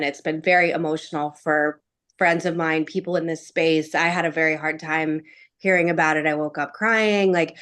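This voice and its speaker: Female caller, slightly low voice, casual and emphatic, airing personal political opinion. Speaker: caller and pro-Israel advocate. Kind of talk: casual, opinionated question on a video call.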